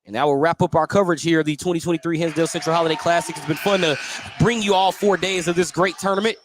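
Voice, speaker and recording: A male play-by-play commentator with a fairly high voice calls basketball live on radio. He narrates each movement in descriptive detail, with some gym noise in the background. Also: fairly even intonation, quick pace. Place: courtside broadcast spot in a school gym.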